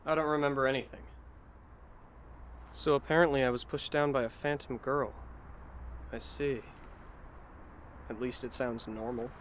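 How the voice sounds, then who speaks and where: A man's voice: medium pitch, fairly even intonation, moderate pace, pauses often, a bit bright, a little hiss or hum, lightly acted. A man in an anime fan dub playing a weary, puzzled teenage schoolboy with a dry, terse, sardonic delivery.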